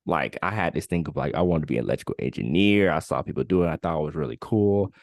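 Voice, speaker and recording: Deep-voiced young man, casual podcast chat. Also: creaky, no echo, studio quality. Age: 20s. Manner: relaxed and candid, drawn-out storytelling with filler words. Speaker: podcast co-host.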